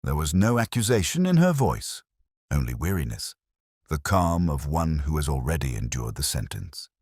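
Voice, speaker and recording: A man reading a scripted first-person detective story as narrator, in a measured, solemn, literary manner. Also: a bass voice, sing-song, a little mellow, breathy edge, no echo, clean studio sound.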